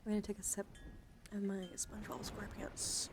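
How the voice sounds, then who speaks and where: A woman hosting an ASMR livestream, drifting between whispers and soft, playful, chatty speech as she talks to viewers. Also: medium pitch, monotone, brisk. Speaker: livestream host. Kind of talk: ASMR card showcase with casual chat to viewers. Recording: clearly noisy background.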